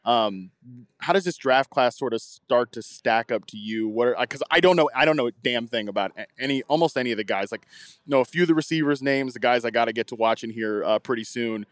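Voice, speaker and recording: A man in the host's chair of a casual podcast, talking in a loose, chatty way that is full of laughs and restarts. His voice sits at an ordinary middle pitch for a man. The sound is close and dry, with no room echo. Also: fairly quick. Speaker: podcast host.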